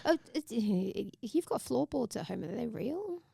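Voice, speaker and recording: A woman's voice: fairly high pitch, very animated, measured pace, vocal fry, mellow, breathy edge, dry recording, clean studio sound. A woman co-hosting a podcast in casual banter, blunt, teasing and self-deprecating. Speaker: podcast co-host.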